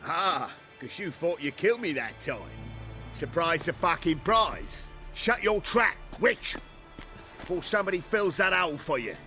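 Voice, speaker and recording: Brash shapeshifting rogue, highish voice, sharp and forceful, excitable, fantasy game. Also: male voice, unhurried, soft background music, heavily acted. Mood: sneering, menacing. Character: shapeshifter posing as foul-mouthed crime boss. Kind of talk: video game dialogue.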